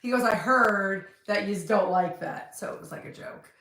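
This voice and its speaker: A woman's voice: mid-range voice, moderate pace, a bit bright, echoey room, distant mic. A woman from New Jersey with a New Jersey accent, talking casually and bluntly as a podcast co-host. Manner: chatty, blunt, rambling. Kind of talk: casual chat.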